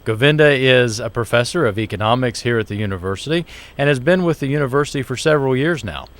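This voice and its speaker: Male host, mid-pitched, chatty and upbeat, reads show intro and banters with guests in a campus studio. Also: expressive, fast. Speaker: show host, small business center director. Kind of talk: talk show hosting. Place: university TV studio.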